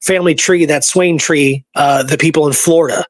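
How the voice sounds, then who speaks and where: A man with a fairly high voice talks casually as a show co-host. He explains things like a storyteller, checking in as he goes, and the sound is very dry and close. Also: normal speed, no room sound. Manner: animated storyteller, often tags points with "right?". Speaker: show co-host and history researcher. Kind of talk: casual chat.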